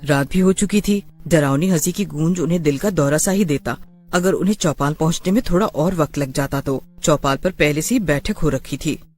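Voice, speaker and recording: A man's voice: on the high side, moderate pace, darkish tone. A man narrating a scripted horror story in a dramatic storytelling style.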